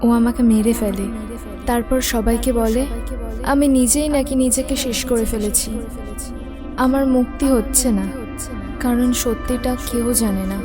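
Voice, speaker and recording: A woman playing the sorrowful, haunting ghost of a wronged village woman in an animated horror story, speaking slowly and hushed. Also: on the high side, lightly acted. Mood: sorrowful, aggrieved. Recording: loud music over the voice.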